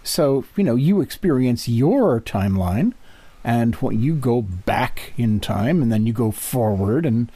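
Halting male co-host with a mellow voice. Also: medium pitch, lively intonation, slightly rough, no room sound. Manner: halting, searching for words. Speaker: podcast co-host, interviewer. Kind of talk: casual discussion.

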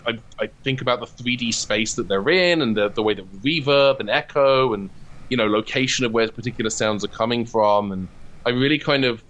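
A man's voice: fairly low pitch, a bit bright. A man talking casually and enthusiastically as an interview guest, often wandering from one thought to the next. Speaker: interview guest, comedy writer and audio drama producer.

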